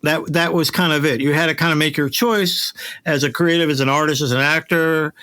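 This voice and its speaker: A middle-aged man hosting a casual interview, talking in a reflective, halting, conversational way. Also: on the high side, steady tone, pushed and bright. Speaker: interview host, voiceover director.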